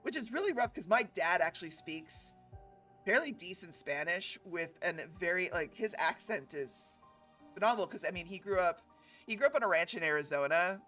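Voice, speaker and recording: A woman's voice: fairly low pitch, expressive, moderate pace, fairly bright tone, soft background music. A young woman from California co-hosting a game stream, chatting casually and laughing often. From West Coast of California. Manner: chatty, laughing, mixes in foreign phrases. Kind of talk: casual chat.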